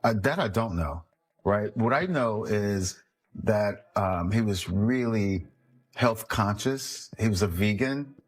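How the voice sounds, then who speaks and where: Male interviewee, slightly low voice, hesitant, reflective, conversational. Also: lively intonation, breathy edge. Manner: hesitant, measured.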